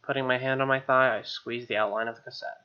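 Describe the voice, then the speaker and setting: A man's voice: mid-range voice, fairly even intonation, fast, smooth, dull audio. A man hosting a let's play, reading game text expressively with wry, casual asides.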